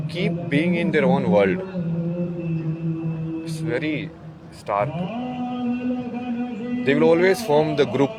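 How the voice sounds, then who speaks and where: A man talks in a loose, rambling way and keeps tagging his sentences with "like" and "no?". His voice sits a little on the high side. Loud music plays under everything he says. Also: rough recording. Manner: rambling, uses "like" and "no?" a lot, chuckles. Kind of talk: casual monologue.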